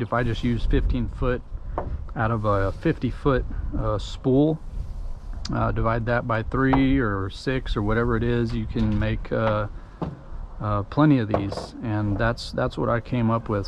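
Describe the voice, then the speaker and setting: Folksy Texan man on a noisy outdoor camera. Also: medium pitch. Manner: relaxed, folksy, frequent ums and uhs. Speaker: DIY tutorial host. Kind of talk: casual step-by-step how-to narration. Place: outdoors at a pickup truck tailgate.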